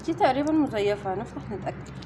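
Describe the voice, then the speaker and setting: A woman with an Egyptian Arabic accent talks quickly through a casual home unboxing. Her voice sits a bit high and she sounds a little weary. There is clear background noise in the recording. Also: expressive. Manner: chatty, weary. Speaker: family vlogger.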